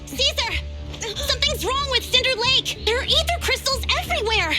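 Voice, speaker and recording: A woman voicing a hot-headed young female gang leader in a video game, forceful and exclamatory. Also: extremely high pitch, lively intonation, normal speed, music in the background. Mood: alarmed.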